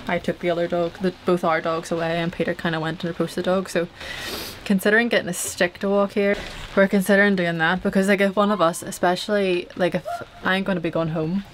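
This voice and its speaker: A woman's voice: lowish voice, flat delivery, fast talker, slight background noise, low-quality recording. A young woman vlogging casually from a small boat cabin, chatty and rambling with lots of fillers. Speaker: sailing vlogger. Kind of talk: casual chat to camera. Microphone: handheld camera at arm's length.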